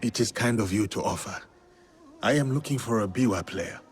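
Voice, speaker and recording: A man's voice: lowish voice, normal speed, a little hiss or hum, natural voice. A man playing a solemn, courteous warrior in a video game, speaking in a formal, restrained way. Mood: polite, reserved. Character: solemn, courteous male warrior seeking answers. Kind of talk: dramatic video game cutscene acting.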